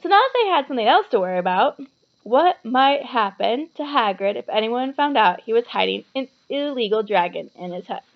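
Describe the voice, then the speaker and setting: A woman reading a children's novel aloud as an expressive storyteller. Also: a little high, normal speed, lo-fi sound. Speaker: storybook reader.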